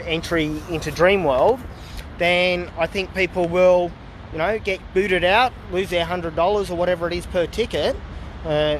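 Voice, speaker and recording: A man with a slightly high voice rambles through a casual outdoor livestream, full of uhs and side remarks. He says he is losing his voice. Faint street traffic hums behind his phone recording. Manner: rambling, many fillers and asides. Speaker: political vlogger. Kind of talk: casual livestream talk to viewers. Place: outdoors in a public town area.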